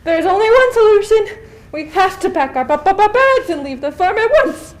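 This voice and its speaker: A fretful farm hen in a children's puppet show. The voice is high and very animated, full of dramatic scolding. She trips and stutters over her b sounds. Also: normal speed. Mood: doomful, scolding.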